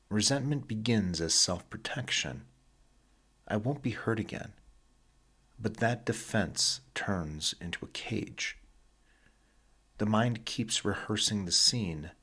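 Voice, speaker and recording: Deep voiced guy doing sleep hypnosis, really slow n soothing, kinda breathy husky, pauses between phrases. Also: fairly bright tone. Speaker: hypnotist, guided session narrator. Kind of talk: sleep hypnosis, slow guided meditation narration.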